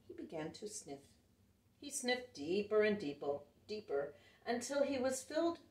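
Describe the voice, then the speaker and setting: A woman reading a picture book aloud to children in an animated, expressive way, recorded in an echoey room a little away from the mic. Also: fairly high pitch, recorded from a distance. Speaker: children's storyteller.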